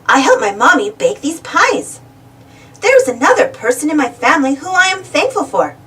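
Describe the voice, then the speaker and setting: A woman reads a picture book aloud for small children in a high voice. Her delivery swings widely in pitch and speaks straight to the young listener. She sounds slightly away from the microphone. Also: normal speed, far from the mic, rough recording. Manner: expressive, asking questions directly to young listeners. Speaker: storybook reader. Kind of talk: animated read-aloud storytelling for young children.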